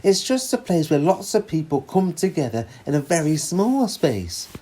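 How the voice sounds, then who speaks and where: A man playing a patient, reassuring father who explains things in a children's storybook, with a husky voice. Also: fairly high pitch, very animated, normal speed, dark tone. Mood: reassuring, explaining. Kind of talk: read-aloud children's storybook dialogue.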